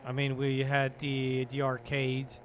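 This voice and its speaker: A man's voice: mid-range voice, monotone, a little slow, a little hiss or hum. A man streaming a roleplay game, chatting casually and rambling in a laid-back way. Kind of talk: casual gaming chat.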